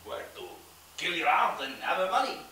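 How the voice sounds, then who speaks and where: A man's voice: high voice, sing-song, brisk, pushed and bright, a little hiss or hum, exaggerated voice. A man playing a middle-aged con man who fakes a French accent and blackmails with oily menace, recorded far from the mic in an echoey room. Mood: menacing. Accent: fake French.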